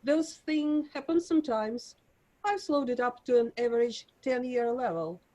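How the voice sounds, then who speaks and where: A woman reading a calm school inspector. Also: fairly high pitch, normal speed, lightly acted. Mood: reassuring. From Russia.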